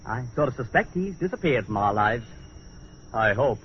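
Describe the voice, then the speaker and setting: An actor playing a calm, reassuring husband and amateur detective in 1940s radio style. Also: male voice, medium pitch, normal speed, close to an everyday voice. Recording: dull, noisy vintage radio recording.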